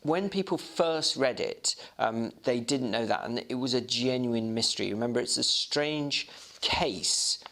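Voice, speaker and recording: A man's voice: medium pitch, measured pace, a bit bright. A man narrating a classic novel and explaining it informally, like a literature guide. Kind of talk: storybook narration and informal commentary.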